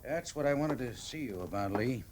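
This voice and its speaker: An actor playing a wry, authoritative cavalry major in a classic radio drama, speaking in a measured way. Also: male voice, medium pitch, animated, darkish tone, close to an everyday voice. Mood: wry, confiding. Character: wry, weary male cavalry major, commanding officer. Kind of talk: classic old-time radio drama acting.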